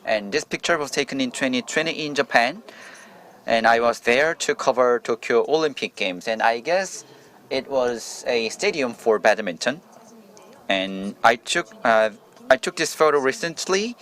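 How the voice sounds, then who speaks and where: A man interprets a conference talk into English on the fly. His voice sits at a middling pitch, and his delivery halts and restarts as he catches up with the speaker. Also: fairly bright tone. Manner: halting, restarts phrases mid-sentence. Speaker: interpreter voicing a journalist's speech. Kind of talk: simultaneous interpretation of a forum presentation.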